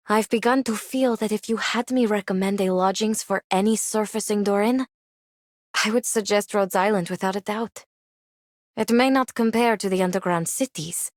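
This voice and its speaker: A woman's voice: mid-range voice, a little mellow, no echo, clean studio sound, natural voice. An actress voicing a polite, thoughtful woman in a game, composed and formal in manner. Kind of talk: scripted game character voice line.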